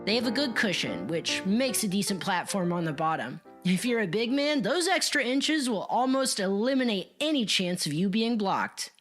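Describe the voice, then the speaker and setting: A woman's voice: medium pitch, very animated, moderate pace, few pauses. A woman playing a cocky young sneaker reviewer, showy, slangy and emphatic, in a hyped online video. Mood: confident. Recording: music behind the voice.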